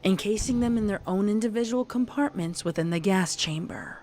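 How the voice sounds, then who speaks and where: A woman's voice: medium pitch, a little fast, a little mellow, slight background noise. A woman narrator giving a dramatic scripted reading of a story. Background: music.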